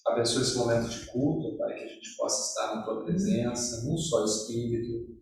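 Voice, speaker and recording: A man leading a church gathering in spontaneous spoken prayer, earnest and halting, with many fillers and restarts. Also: medium pitch, a little mellow. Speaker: church leader opening a service with prayer. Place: small church gathering indoors. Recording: roomy echo from a distant mic with faint noise.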